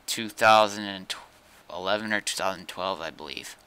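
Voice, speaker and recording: A man's voice: low voice, normal speed, sharp tone, clear, resonant tone, no room sound. A man talking casually while troubleshooting a computer on a livestream.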